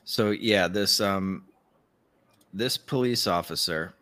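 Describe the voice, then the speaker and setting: Male podcast host, deep but forceful voice, blunt and sarcastic, swears freely in casual commentary. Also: slow. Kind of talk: casual opinionated commentary chat.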